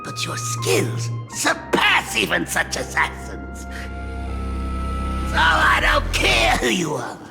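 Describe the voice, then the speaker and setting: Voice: mid-range voice, huge pitch swings, slow, vocal fry, big-room sound, music in the background, full character voice. An actor voicing a boastful, sly and jovial aging gang boss in a video game, in a theatrical manner. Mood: boastful, scheming. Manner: theatrical, chuckling, swinging between mutters and exclamations.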